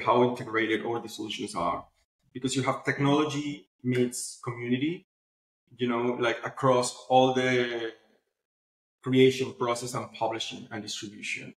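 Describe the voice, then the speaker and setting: A man's voice: mid-range voice, steady tone, normal speed. A man speaking as an interview guest, explaining casually and often checking that the listener follows. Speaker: podcast guest, company founder. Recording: roomy, echoing sound.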